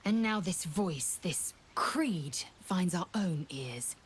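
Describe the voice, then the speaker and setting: Alien warrior woman, low voice, stern, clipped, formal, zealous, game dialogue, faint ambience. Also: expressive, slow pace, slight background noise. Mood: grave, intent. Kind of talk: video game character dialogue.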